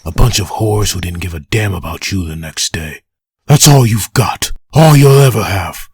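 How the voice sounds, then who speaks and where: A deep, very husky undead soldier, acted by a man for a comic dub. He is grim and forceful, taunting his enemy with bitter menace. The sound is dry and close. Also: lively intonation, moderate pace, no room sound, heavily acted. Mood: furious, accusing. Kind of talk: comic dub dramatic acting.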